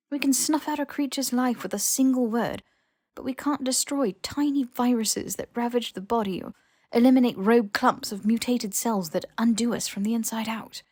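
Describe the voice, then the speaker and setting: A woman voicing an anxious, earnest young witch in an audiobook story, halting and fervent as she confesses. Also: on the high side, no room sound, studio quality, voice pushed up, natural voice. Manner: breathless, halting, fervent, slightly manic.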